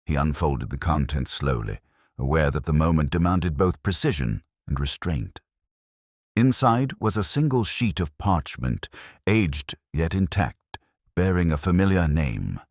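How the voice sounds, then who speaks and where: A man narrating a Victorian detective story in a measured, dramatic storytelling manner. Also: very deep voice, lively intonation, dry recording, clean studio sound. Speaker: audiobook narrator.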